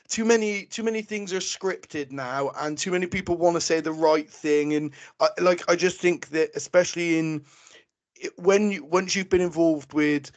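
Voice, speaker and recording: Hoarse, chatty British podcast host. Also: male voice, high voice. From Britain. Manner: chatty, rambling, lots of fillers and asides. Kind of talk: casual interview talk.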